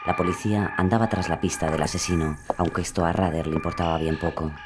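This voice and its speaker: Deep-voiced man narrating true crime. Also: fairly even intonation, fast talker, noisy background.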